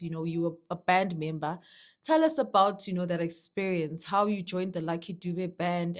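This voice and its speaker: A young woman hosting an interview with her father, chatty and enthusiastic, turning to speak to her viewers. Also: fairly low pitch. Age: young adult. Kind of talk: casual interview.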